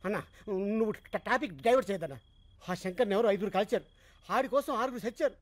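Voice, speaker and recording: Male, jittery nagging sidekick, pleading, extremely fast, close dry film dub with faint music. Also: high voice, no room sound. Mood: nervous, pleading. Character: anxious, nagging sidekick, eager to flee. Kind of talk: film comedy acting.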